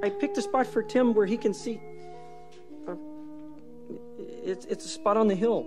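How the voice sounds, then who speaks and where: A woman voicing a gentle, grieving father with halting, subdued speech in a storybook drama. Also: medium pitch, music in the background, voice pushed down, close to an everyday voice.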